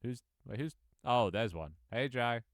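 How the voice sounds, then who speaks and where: Man, twenties, low smooth voice, dry close sound, casual rambling streamer. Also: expressive, measured pace, dry recording. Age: 20s. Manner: rambling, lots of ums and restarts, reads chat aloud. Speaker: game developer streaming his game. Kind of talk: casual live-stream chat.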